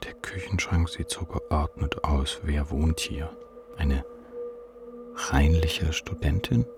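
An actor playing a despairing, ashamed young man of twenty-four who narrates his depression in a bleak, measured radio drama monologue. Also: a bass voice, vocal fry, music in the background, exaggerated voice. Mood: numb, empty.

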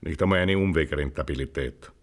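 An actor playing a gruff, stingy small-town mayor who speaks curtly and with condescension. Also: male voice, rumbling low, fairly quick. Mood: dismissive, irritated. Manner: curt, condescending. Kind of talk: naturalistic film acting.

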